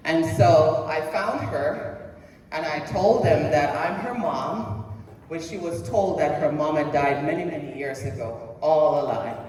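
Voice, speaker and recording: Slow woman in an echoey hall. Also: deep voice, a little mellow, slight background noise. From Jamaica. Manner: slow, deliberate, asks the audience questions. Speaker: presentation speaker. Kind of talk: personal motivational speech. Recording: echoey hall heard from a distance.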